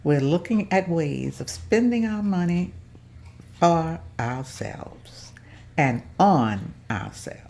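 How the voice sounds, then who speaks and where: A woman's voice: low-pitched, lively intonation, slow, gravelly, a little mellow, exaggerated voice. A woman reading the part of a polite, determined secretary of a church order at a script table read, in an earnest, formal manner.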